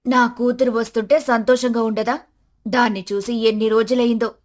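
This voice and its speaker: A woman's voice: a little high, little pitch movement, moderate pace, natural voice. A woman voicing a stern, old-fashioned older mother-in-law in a family story, bossy and emphatic. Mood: defensive.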